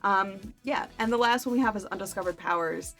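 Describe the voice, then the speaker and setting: A woman's voice: medium pitch, a little fast, a bit bright. Chatty woman reviewing books over music. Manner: chatty, self-deprecating, playful asides. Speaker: book review vlogger. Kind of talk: casual book chat to camera.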